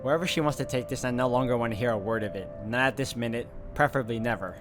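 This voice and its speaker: A man's voice: medium pitch, fast, music in the background. A man reading a visual novel aloud as he plays it. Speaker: video game player reading aloud.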